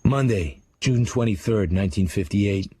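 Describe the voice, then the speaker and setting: An actor playing a hard-edged crime writer narrating his dark memoir in a sardonic, clipped manner for a radio drama. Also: on the low side, normal speed, breathy edge, dry recording. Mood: sardonic, cool.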